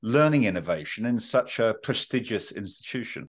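A man's voice: fairly low pitch, expressive, normal speed. A man hosting a podcast, who reads a formal guest introduction and asks long, polished questions. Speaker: podcast host. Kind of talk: scripted introduction and interview questions.

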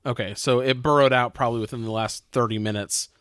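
A man's voice: medium pitch, steady tone, quick pace, fairly bright tone, clear, resonant tone, no echo, clean studio sound. A man playing a tabletop role-playing game, reasoning aloud and asking questions in casual conversation.

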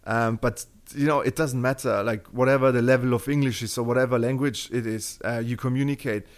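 A man's voice: medium pitch, steady tone, brisk. A man from Germany with a German accent, speaking as a podcast guest in a casual interview, rambling with many fillers and restarts.

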